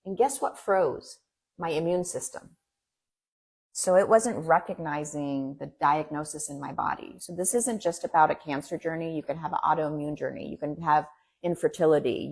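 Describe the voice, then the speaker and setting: A woman with a husky, slightly breathy voice, speaking fairly low for her sex, whose first language is Farsi. She talks as an interview guest, earnest and encouraging, in quick bursts. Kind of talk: casual interview talk.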